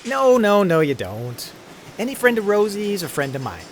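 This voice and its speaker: A man playing a friendly, excitable starship mechanic in an audio drama. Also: high-pitched, normal speed, a little hiss or hum. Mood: concerned.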